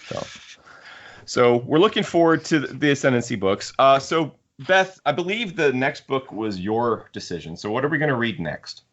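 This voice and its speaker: A man hosting a casual book discussion among friends, talking haltingly and repeating words as he works through his points. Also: mid-range voice, animated, lo-fi sound. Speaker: podcast host.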